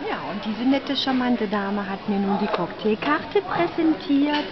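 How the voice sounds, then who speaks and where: A woman's voice: fairly high pitch, animated, measured pace, very noisy. A woman in her thirties presenting a hotel tour to a handheld camera in a busy restaurant and bar, in a polite, showy presenter tone. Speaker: vlogger giving a hotel tour. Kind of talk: chatty presenter narration to camera. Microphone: handheld camera mic. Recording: dull camera audio, loud crowd. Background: loud crowd chatter.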